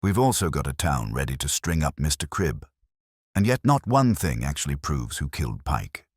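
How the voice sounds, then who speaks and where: A man's voice: very deep voice, sing-song, normal speed, husky, no room sound, studio quality, heavily acted. A man voicing an earnest, frustrated police sergeant in a mystery story, emphatic and exasperated.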